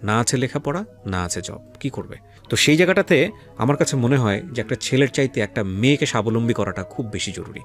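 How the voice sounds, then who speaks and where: Quick-talking Bangladeshi male radio host. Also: medium pitch, expressive. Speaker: radio show host and interviewer. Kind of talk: radio interview with addresses to listeners. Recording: faint music bed under the voice. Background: soft background music.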